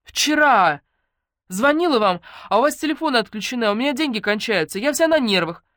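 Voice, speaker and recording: A woman voicing an excitable, anxious and demanding young woman in an audiobook, with blunt, exclamatory delivery. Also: fairly high pitch, a little fast, dry recording, studio quality, pitched above the performer's own voice. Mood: agitated, complaining. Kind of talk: audiobook character dialogue.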